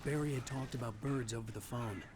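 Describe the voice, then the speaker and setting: An actor playing a brooding novelist, narrating his own thriller in a measured, introspective way. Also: mid-range voice, noisy background, close to an everyday voice. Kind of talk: scripted first-person narration.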